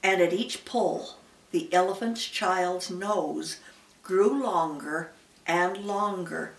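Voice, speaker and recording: A woman's voice: lowish voice, takes it slowly. An elderly woman, a great-grandmother, reading a children's story aloud and adding small asides to the listener. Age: older, great-grandmother. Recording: roomy, echoey, slightly distant mic.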